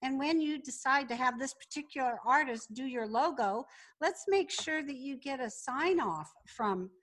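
A woman's voice: high-pitched, animated, normal speed, fairly bright tone. A woman speaking as an interview guest, laying out business advice in a conversational, instructive and methodical way. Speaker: podcast guest, business founder.

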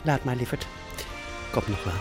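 A woman's voice: very deep voice, moderate pace, loud music behind the voice. An actress in a radio drama playing a sweet, eager older mother who gushes and hesitates. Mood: tender, anxious. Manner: gushing, hesitant. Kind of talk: naturalistic radio drama acting.

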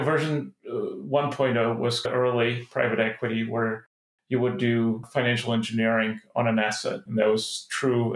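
A middle-aged Czech-born man, a private equity partner, giving measured, explanatory interview answers with some restarts. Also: mid-range voice, echoey room, poor audio. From Czech Republic.

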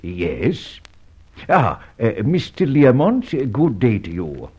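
An actor playing a suave, confident gentleman adventurer in an old radio drama, speaking with urbane, teasing politeness and deliberate hesitations. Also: mid-range voice, huge pitch swings, breathy edge, full character voice. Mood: courteous, insistent. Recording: dull vintage radio recording.

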